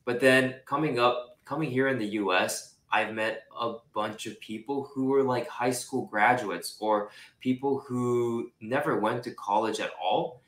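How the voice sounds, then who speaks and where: A young man from Japan who grew up in Singapore, a real estate investor talking casually as an interview guest, relaxed and chatty with lots of "like" fillers. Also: medium pitch, measured pace, few pauses, echoey room. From Japan, raised in Singapore. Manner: relaxed, chatty, many "like" fillers, laughs easily.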